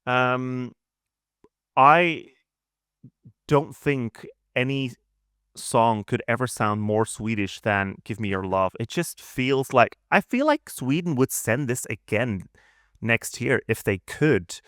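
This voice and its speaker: Man, podcast co-host, clear smooth voice, average pitch, casual chat. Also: lively intonation, slow, fairly bright tone, dry recording, clean studio sound.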